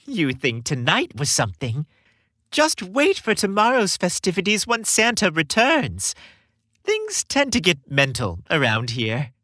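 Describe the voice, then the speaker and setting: Man putting on a teasing, high-lifted Mrs. Claus. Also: wildly swooping pitch, a little slow, bright, forceful tone, no room sound, clean studio sound, exaggerated voice. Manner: playful, teasing. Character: kindly, teasing older woman, Mrs. Claus. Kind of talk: storybook character voice.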